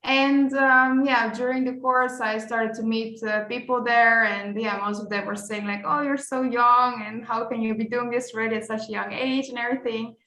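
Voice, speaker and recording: A woman's voice: on the high side, steady tone, moderate pace, few pauses, bright, forceful tone. Young woman in a roomy room. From Netherlands. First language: Dutch and Croatian. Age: 20s. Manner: chatty, many fillers and restarts. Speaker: guest, court interpreter. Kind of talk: casual interview conversation. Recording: roomy echo.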